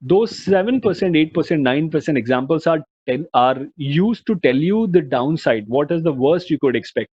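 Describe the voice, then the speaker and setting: A man's voice: a little high, expressive, moderate pace, few pauses, darkish tone, breathy edge, no echo. Man presenting over a dull, dry line. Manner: earnest, explanatory, with frequent "you know" and "uh" fillers. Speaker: webinar presenter on mutual fund investing. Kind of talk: explanatory webinar presentation.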